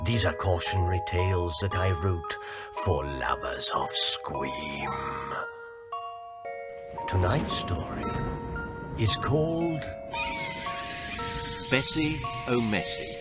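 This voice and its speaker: A man with a British accent reading a children's story theatrically, relishing each word with dramatic pauses. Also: sits low, sing-song, over loud music. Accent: British English. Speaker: author reading own story.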